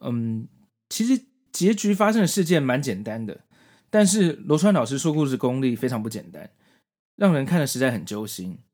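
A man's voice: mid-range voice, big pitch swings, moderate pace, darkish tone. A man hosting a podcast, narrating and commenting on a story from prepared notes in an explanatory way. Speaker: podcast host.

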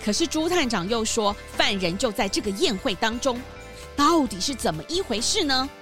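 Expressive woman narrating a children's mystery. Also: on the high side, normal speed. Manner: gentle, expressive storytelling. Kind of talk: scripted storybook narration for children. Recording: soft music bed.

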